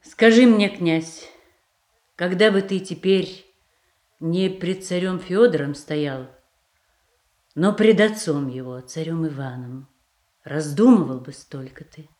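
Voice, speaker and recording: An actress playing a dignified, reproachful tsaritsa in a radio play, speaking in a stately, deliberate way. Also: female voice, fairly low pitch, warm tone. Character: dignified, reproachful tsaritsa, loyal to her husband. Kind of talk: theatrical verse acting in a radio play. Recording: roomy, echoing stage sound.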